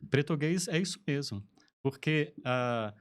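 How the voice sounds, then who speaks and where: A man's voice: on the high side, expressive, a little slow. Brazilian man explaining at length. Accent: Brazilian Portuguese. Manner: explanatory, lecturing, frequent "né?" tags. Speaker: linguist guest. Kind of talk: conversational interview.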